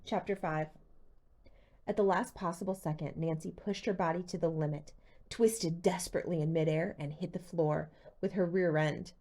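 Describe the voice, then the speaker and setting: A woman reading a teen mystery novel aloud as expressive scripted narration. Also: lowish voice, normal speed. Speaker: audiobook reader.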